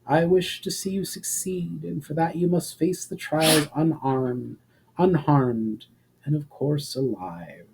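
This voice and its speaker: A man voicing a calm, regretful elf woman with a formal, measured way of speaking in a fantasy story reading. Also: on the high side, gravelly, a little hiss or hum, poor audio.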